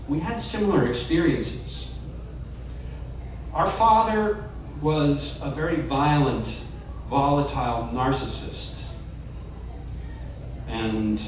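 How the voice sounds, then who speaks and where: A man reads a personal story aloud at a live event, earnest and now and then dropping to a hush. His voice sits in the middle range. The room is very echoey and he sounds a good way off the mic. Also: medium pitch, recorded from a distance, a little hiss or hum. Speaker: story reader. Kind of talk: live reading of a personal essay. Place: live reading event in a bar.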